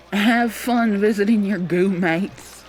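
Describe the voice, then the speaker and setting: A woman's voice: medium pitch, drawn-out words, background noise. A woman voicing a sneering human police colleague who taunts and mocks in an ASMR roleplay. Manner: mocking, drawling taunts.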